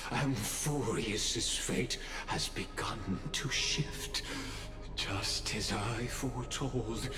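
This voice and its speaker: An actor playing a composed, calculating male schemer in a video game, speaking in a formal, pompous, measured way. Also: fairly low pitch. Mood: smug, ominous. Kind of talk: scripted game dialogue, theatrical monologue. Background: game music.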